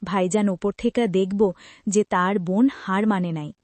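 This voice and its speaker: A woman's voice: mid-range voice, steady tone, normal speed, a little mellow, no room sound, natural voice. A woman playing a devoted, resolute young village daughter in a cartoon, earnest. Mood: resolute, consoling. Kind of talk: cartoon voice acting.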